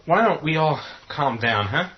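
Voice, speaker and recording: A man's voice: fairly high pitch, animated, moderate pace, close to an everyday voice. A man playing a polite, placating young survivor in a horror radio play, speaking in a conciliatory way. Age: young adult. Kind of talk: radio horror drama acting. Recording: dull lo-fi audio.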